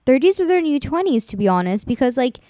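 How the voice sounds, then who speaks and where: A woman's voice: high voice, big pitch swings, quick pace, mellow, dry recording. A woman in her twenties, co-hosting a podcast in casual chat, rambling with lots of fillers. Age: 20s. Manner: rambling, filler-heavy, laughs often. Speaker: podcast co-host.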